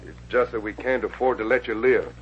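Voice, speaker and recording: A man's voice: lowish voice, fairly quick, slight background noise, lightly acted. An actor playing a cold-blooded, talkative outlaw henchman in a 1950s radio western. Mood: callous. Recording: dull old radio recording.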